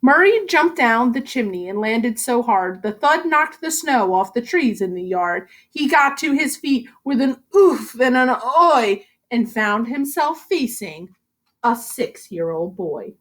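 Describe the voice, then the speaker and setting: High, very animated woman reading a storybook. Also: unhurried. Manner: expressive, rhythmic rhyming delivery. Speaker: elementary school reading teacher. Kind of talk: animated storybook read-aloud for children.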